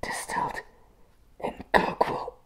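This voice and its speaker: A young man playing a crazy, jittery circus clown in a whispered ASMR roleplay, haltingly repeating his words with nervous apology in his manner. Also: a bit bright. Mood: unhinged, intent. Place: small room with a plain grey wall. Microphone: close to the mic and camera.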